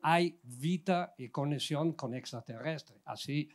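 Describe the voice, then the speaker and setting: An older man with a strong Italian accent and a fairly high voice asks a long, winding question from an outdoor crowd. He holds a handheld mic and keeps stopping to hunt for the right words. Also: takes it slowly. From Italy. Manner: rambling, searching for Spanish words. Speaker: audience member asking a question. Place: outdoor audience seating.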